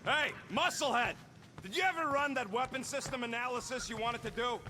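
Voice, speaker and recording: A small, irritable raccoon mechanic from a video game, high and sharp. He is cocky, snappy and sarcastic, and his voice rings in a long reverb. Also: brisk, slight background noise. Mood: irritated. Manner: snappy, sarcastic, technical jargon.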